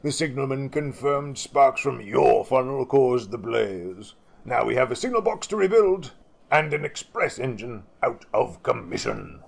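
Man playing a stern, measured railway boss. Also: lowish voice, animated, creaky, heavily acted. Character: stern but fair male railway boss.

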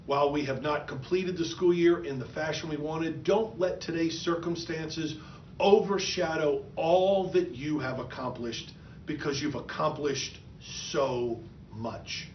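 A man's voice: fairly high pitch, steady tone, slight background noise. A middle-aged man giving a prepared, encouraging commencement address as a school superintendent, recorded in an office. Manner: earnest, encouraging, measured. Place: office with bookshelves, indoors. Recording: echoey, dull room sound from a distant mic.

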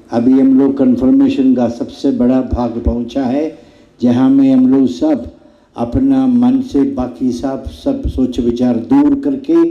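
A man runs the ceremony, rattling off instructions very quickly in a dark, mellow voice. The sound is dull and lo-fi, with heavy echo from a big room. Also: medium pitch. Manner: brisk, instructing, switching between languages. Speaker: master of ceremonies. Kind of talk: giving directions to a congregation. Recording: echoey, dull hall sound.

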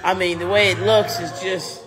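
A man's voice: high voice, level tone, normal speed. A middle-aged man hosting a casual phone livestream in a big home kitchen, exclaiming and narrating the food he sees. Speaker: livestream host. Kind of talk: casual live-stream chat. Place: large home kitchen, indoors. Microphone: phone held in hand. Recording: music playing in the room.

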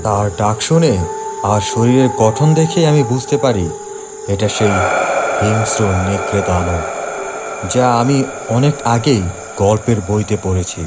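A man narrating a scripted first-person horror story for an animated cartoon, in a dramatic storytelling manner. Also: on the low side, measured pace, long pauses, heavy background noise.

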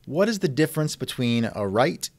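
A man's voice: medium pitch, big pitch swings, normal speed, no room sound, clean studio sound. A man hosting a conversational interview, asking questions in a wry, skeptical manner. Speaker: interviewer, host. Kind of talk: reenacted text-chat interview, conversational.

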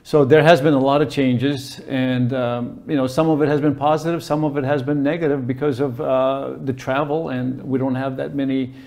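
A man in his sixties from Lebanon, a hotel manager answering interview questions in a halting way with many fillers. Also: mid-range voice, steady tone, creaky, darkish tone. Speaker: hotel general manager, guest. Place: indoor office with plants.

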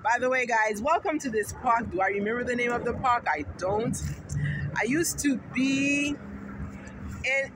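Middle-aged woman, high voice, rambling emphatic vlog on a phone at arm's length outdoors. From Haiti. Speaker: vlogger and teacher. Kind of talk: casual talk to camera. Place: sunny city park outdoors. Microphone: phone held at arm's length. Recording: noisy outdoor phone recording.

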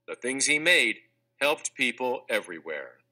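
A man narrating a children's textbook read-aloud in a clear, measured, expressive scripted delivery. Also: medium pitch. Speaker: audiobook narrator.

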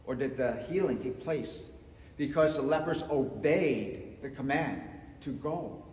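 A man in his fifties preaching a sermon from the front of a church sanctuary, slow and emphatic, swinging between loud and soft. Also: mid-range voice, slight background noise. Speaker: pastor. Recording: echoey church with long reverb.

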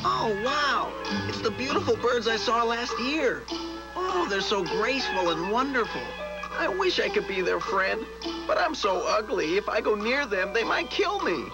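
A man voicing a lonely, self-loathing young duckling in an animated fairy tale, earnest and plaintive. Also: sits high, unhurried, loud music behind the voice, full character voice, rough recording. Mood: awed.

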